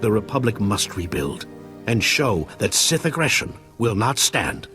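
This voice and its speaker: An actor playing an earnest, socially awkward scientist with stiff, bookish phrasing in a video game. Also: male voice, on the low side, very animated, measured pace, over music. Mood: earnest, determined.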